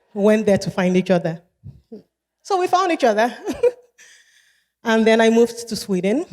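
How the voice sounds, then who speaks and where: Mellow-voiced Nigerian woman giving a keynote. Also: mid-range voice. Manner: measured, repeats key phrases for emphasis. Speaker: keynote speaker. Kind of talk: motivational keynote speech. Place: large event hall. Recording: long hall reverb.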